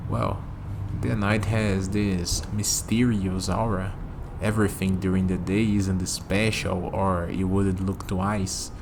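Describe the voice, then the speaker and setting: A man's voice: low-pitched, flat delivery, slow pace, noisy background. A man acting a friendly, easygoing human on a night walk in an ASMR roleplay, musing conversationally to the listener. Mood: reflective, warm.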